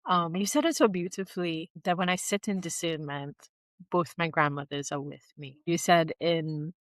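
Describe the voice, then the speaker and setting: Low-voiced Trinidadian woman interviewing. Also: normal speed, no room sound. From Trinidad and Tobago. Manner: affirming, lyrical, encouraging. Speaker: interviewer host. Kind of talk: warm interview conversation.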